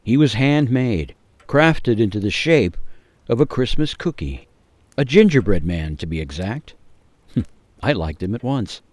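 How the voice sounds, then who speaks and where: A man giving a measured, theatrical, suspenseful narration of a scripted radio story. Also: medium pitch, big pitch swings, a little mellow, no echo.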